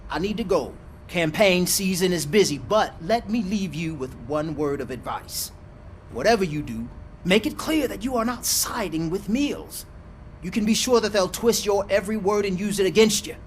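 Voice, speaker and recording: A man's voice: high-pitched, animated, moderate pace, slight background noise. A man playing a slick, self-serving city mayor in a video game, with an oily, grandiose campaigner's delivery. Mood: persuasive, campaigning.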